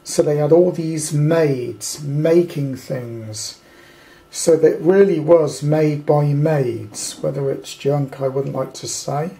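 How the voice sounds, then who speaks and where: A British man talks through a piece of kit as an amateur hobbyist would. His voice sits a little high for a man and has a dark, relaxed tone. He rambles in plain colloquial language and gives his opinions bluntly. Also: takes it slowly, gravelly. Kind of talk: casual off-the-cuff product review. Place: indoors at a tabletop.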